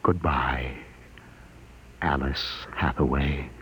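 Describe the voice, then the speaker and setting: An actor playing a grave, humane spaceship captain, solemn and commanding, in a 1950s radio drama. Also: male voice, rumbling low, steady tone, extremely slow, a bit bright, slight background noise, exaggerated voice. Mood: tender regret.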